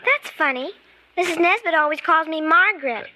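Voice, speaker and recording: A woman's voice: extremely high pitch, animated, normal speed, bright, forceful tone, heavily acted. An actress playing a young girl in an old-time radio drama, earnest and persistent, with hesitant childlike phrasing. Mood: guarded, curious. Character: young girl, persistent, curious, anxious but brave. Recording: vintage radio recording with faint music.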